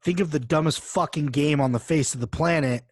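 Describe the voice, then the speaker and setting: A man's voice: fairly high pitch, a little fast, no room sound, studio quality. A man co-hosting a podcast in casual chat, reacting sarcastically and repeating phrases for emphasis. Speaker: podcast co-host.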